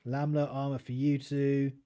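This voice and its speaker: A British man chats easily through a relaxed game stream, mid-pitched and unhurried. He mulls over choices aloud and turns now and then to answer his viewers. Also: clear, resonant tone. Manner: easygoing, chats with viewers while playing. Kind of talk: relaxed gameplay livestream commentary.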